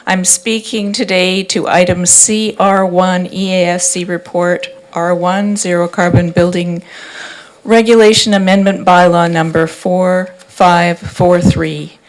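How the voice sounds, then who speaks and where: Older woman, lowish voice, reading measured remarks at a podium mic in a boardroom. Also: vocal fry, low-quality recording. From Canada. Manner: measured, reads from notes. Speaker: public speaker at a board meeting. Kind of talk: prepared public comment. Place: council boardroom. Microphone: desk microphone.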